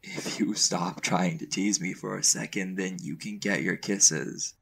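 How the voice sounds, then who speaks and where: A man playing a gruff, easily flustered young boyfriend in an intimate audio roleplay, dry and a little awkward, with a husky, gravelly voice. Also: sits low, steady tone, unhurried, fairly bright tone, heavily acted. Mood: dry, teasing.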